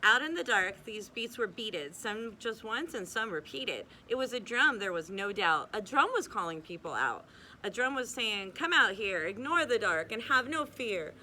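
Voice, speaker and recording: Young woman reading a rhyming kids book out loud, bright voice, steady bouncy rhythm, little hiss behind. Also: mid-range voice, moderate pace. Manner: steady rhythmic read-aloud with small slips and restarts. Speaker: reader.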